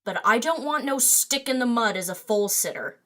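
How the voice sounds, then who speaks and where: A woman's voice: on the high side, expressive, normal speed, fairly bright tone. A woman voicing a brash, sarcastic young unicorn party girl in a fan audio drama, slangy and cheeky. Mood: grudging, sulky.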